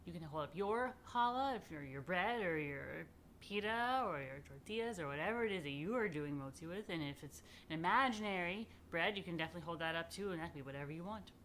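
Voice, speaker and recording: A woman's voice: fairly low pitch, animated, fast, a bit bright, slight background noise. A woman rabbi hosting a children's story time, speaking informally and playfully straight to the children in a chatty way.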